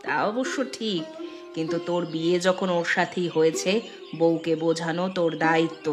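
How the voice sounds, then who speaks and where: A woman acts out the middle-aged matriarch of a landlord's house in a cartoon tale. Her voice sits a little low for a woman. Clear background music runs beneath her lines. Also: flat delivery, moderate pace, close to an everyday voice. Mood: concerned, displeased. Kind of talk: animated moral-story voice acting.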